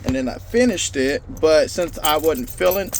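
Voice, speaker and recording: A man with a slightly high voice chats informally to his viewers as he works. His talk is slangy and loose, with casual swearing. Clear vehicle and outdoor noise runs through the recording. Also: lively intonation, normal speed. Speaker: car audio vlogger. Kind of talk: casual hands-on install vlog talk. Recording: noisy vehicle and outdoor phone-video sound.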